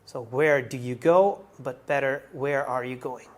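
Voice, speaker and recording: Slow-paced language teacher explaining word by word. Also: medium pitch. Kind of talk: explanatory song translation lesson.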